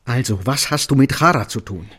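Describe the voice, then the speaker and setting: An actor in a German radio drama playing an uneasy, conscience-stricken man, with a husky voice and a hesitant, questioning way of talking. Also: mid-range voice, animated, fairly quick, a bit bright. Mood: suspicious, probing.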